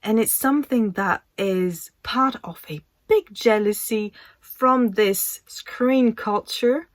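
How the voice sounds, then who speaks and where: A French woman in her thirties speaks English slowly and reflectively, her accent clear, her pitch in a middle range. She often trails off and restarts a thought. The sound is dry and close, with no room around her. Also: dry recording. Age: 30s. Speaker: vlogger. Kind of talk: casual talk to camera.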